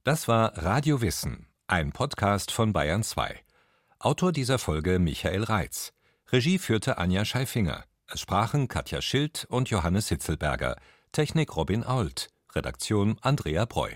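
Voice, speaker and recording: A man's voice: lowish voice, very animated, unhurried, dry recording, studio quality. A man reading scripted closing credits as a radio announcer.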